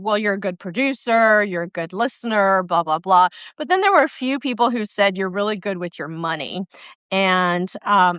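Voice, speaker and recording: Woman in her fifties, crisp voice. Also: medium pitch, a bit bright, no echo, studio quality. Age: 50s. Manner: reflective, many ums and restarts. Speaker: guest. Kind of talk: casual interview chat. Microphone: video call.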